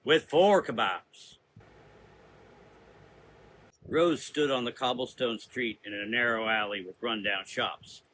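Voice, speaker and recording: A man's voice: medium pitch, normal speed, bright, forceful tone, slight background noise. A man reading scripted narration for a gothic horror story.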